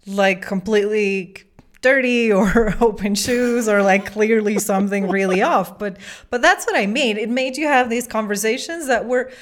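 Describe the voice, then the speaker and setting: A woman's voice: medium pitch, normal speed. A woman from Belgium co-hosting a podcast over a call, chatting casually and laughing now and then. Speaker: co-host and coffee judge. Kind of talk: casual chat. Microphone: video call from a home office.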